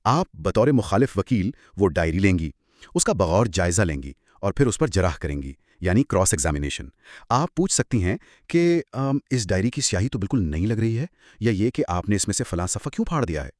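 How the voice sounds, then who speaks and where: A man's voice: fairly low pitch, big pitch swings, a little fast, darkish tone, no room sound, clean studio sound. A man with a Pakistani accent explaining law in an assured, emphatic, conversational teaching style. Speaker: legal explainer on a two-person show.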